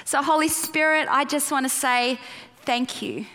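A woman's voice: sits high, a little slow, bright, forceful tone, long reverb tail. An Australian woman in her forties, a guest pastor giving a chatty, playful sermon and prayer and asking the crowd questions. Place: large church auditorium.

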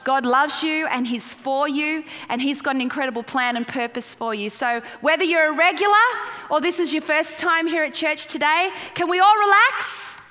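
A woman's voice: high voice, normal speed, bright, forceful tone, big-room sound. An Australian woman in her forties, a guest pastor giving a chatty, playful sermon and prayer and asking the crowd questions. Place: large church auditorium.

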